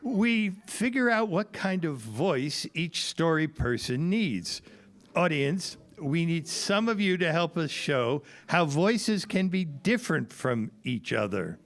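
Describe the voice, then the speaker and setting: A man with a fairly high voice presents a scripted show for a family audience. He takes his time, speaking slowly and deliberately as he explains each point. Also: sing-song. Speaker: presenter at an audio theater show. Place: live event at a public library.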